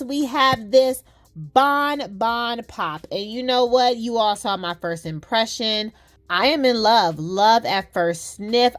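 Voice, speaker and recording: A woman vlogging about fragrances in a lively, chatty way, using playful slang. She says her nose is a little stuffy. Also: mid-range voice. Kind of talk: casual chat to camera.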